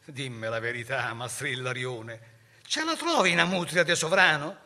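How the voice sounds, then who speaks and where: An actor playing an irreverent Roman commoner, reciting dialect verse in a mocking, colloquial, emphatic way with a Roman accent. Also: male voice, mid-range voice, animated, moderate pace, sharp tone. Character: irreverent Roman commoner man sizing up the Pope.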